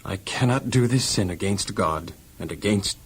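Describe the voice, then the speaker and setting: A man's voice: fairly low pitch, expressive, normal speed, breathy edge. A man playing an earnest, loyal, devout young slave in a children's audio Bible drama, polite, hesitant and steadfast. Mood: uneasy, firm.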